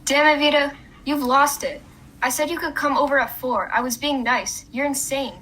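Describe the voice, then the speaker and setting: Woman acting a high-voiced, scolding ex-wife. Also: fairly even intonation, normal speed, pushed and bright. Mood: angry. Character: furious, fed-up ex-wife and protective mother. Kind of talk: radio play acting.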